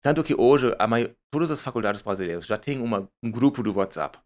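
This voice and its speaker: A man's voice: mid-range voice, expressive, brisk, darkish tone. A man with a German accent, a startup founder speaking as a guest, searching for words and restarting his phrases. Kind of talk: conversational interview.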